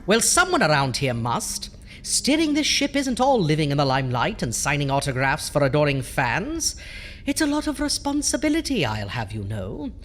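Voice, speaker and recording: An actor playing a vain, theatrical ghoulish horror host in a campy radio show, full of pompous punning patter. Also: male voice, on the high side, very animated, moderate pace, a bit bright, slight background noise. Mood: mock grand.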